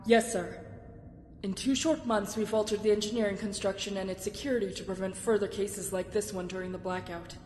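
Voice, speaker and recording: A woman's voice: fairly even intonation, a little mellow, natural voice. Woman acting a composed admiral, echoing hall. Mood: formal. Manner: formal, measured, respectful. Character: dutiful, composed female military admiral. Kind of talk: sci-fi audio drama acting. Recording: echoey hall, clear background rumble.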